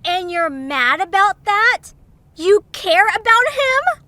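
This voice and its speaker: Woman playing a haughty, high-voiced young creature girl. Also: very animated, takes it slowly, bright, forceful tone, no room sound, heavily acted. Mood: hurt. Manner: scornful, condescending. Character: haughty, self-important young female Zoombini with hurt pride. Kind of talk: amateur audio drama acting.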